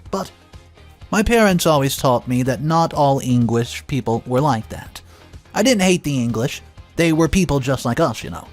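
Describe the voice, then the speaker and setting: A man reading a first-person drama story aloud in a calm, even storytelling manner, with an occasional filler. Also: fairly high pitch. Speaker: story narrator.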